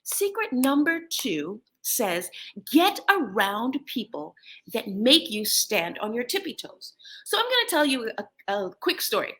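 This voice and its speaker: American lady giving a pep talk, high bright voice, bounces all over, laughs a lot. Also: a little slow. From United States. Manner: animated, laughs often. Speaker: host. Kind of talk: motivational talk.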